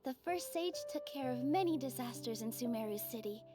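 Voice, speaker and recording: A woman voicing a gentle young female dancer in a video game, telling an old tale in a soft, measured way. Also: high-pitched, expressive. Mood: storytelling. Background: game music.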